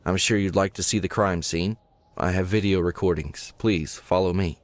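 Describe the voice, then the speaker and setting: A man's voice: low voice, steady tone, moderate pace, no echo, lightly acted. An actor playing a formal, earnest male professor and inventor in a video game, with theatrical delivery. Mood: polite, concerned. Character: distressed male professor and inventor of mechanical animals. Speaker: game character. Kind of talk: scripted video game voice acting.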